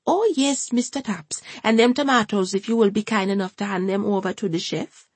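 A woman's voice: mid-range voice, fairly quick, slightly rough, no echo, pitched above the performer's own voice, natural voice. A woman voicing a nervous, devout elderly grandmother with an eager, sing-song way of talking. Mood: anxious.

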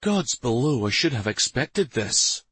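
A man voicing an angry, commanding prince bent on justice in a fantasy audiobook, speaking forcefully. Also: very animated, unhurried, husky, no echo, heavily acted. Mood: brooding, intense. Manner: forceful, commanding, low intense speech. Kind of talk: fantasy audiobook character dialogue.

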